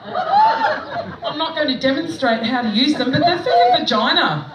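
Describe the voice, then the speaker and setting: A woman's voice: high-pitched, huge pitch swings, unhurried. A middle-aged Australian woman doing stand-up, telling self-mocking stories in a chatty way with frequent laughter, recorded at an outdoor festival stage. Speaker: stand-up comedian. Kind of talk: stand-up comedy set. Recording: echoey, distant mic with background noise.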